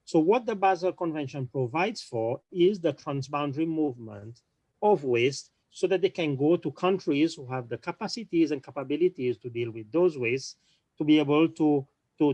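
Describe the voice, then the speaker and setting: A man speaking on an online panel, talking quickly with frequent restarts and repeated words. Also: fairly high pitch, darkish tone, dry recording.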